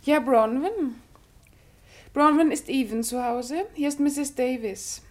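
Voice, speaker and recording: Nice country wife in a radio drama, high voice, chatty and eager, very polite. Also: female voice, slow pace, a little mellow. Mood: polite, obliging. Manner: effusive, polite, conversational. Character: gracious, chatty married country lady, eager and obliging. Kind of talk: German radio play acting.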